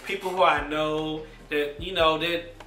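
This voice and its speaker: A man in his thirties talks openly and chattily to camera, poking fun at himself. His voice is high and bright. It sounds slightly distant in an echoey room, with faint music underneath. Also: fairly even intonation, unhurried. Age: 30s. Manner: candid, chatty, self-mocking. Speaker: reality show cast member. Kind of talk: confessional to camera.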